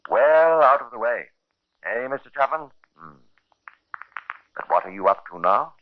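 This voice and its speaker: An actor playing a sardonic storyteller, narrating a 1950s radio crime drama in a deliberate, theatrical way. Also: male voice, mid-range voice, expressive, lightly acted. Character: sardonic male storyteller relishing a grim murder tale. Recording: noisy, muffled 1950s radio recording.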